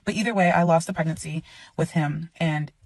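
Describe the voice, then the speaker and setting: A woman's voice: low-pitched, fairly even intonation, a little fast, no echo, far from the mic. A woman in her twenties telling a personal story in a casual, chatty way, with digressions and explanations. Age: 20s.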